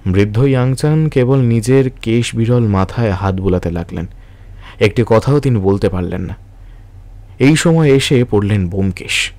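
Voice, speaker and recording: A man's voice: fairly low pitch, lively intonation, moderate pace, darkish tone, no echo. A man giving scripted, dramatic narration for an audio detective story.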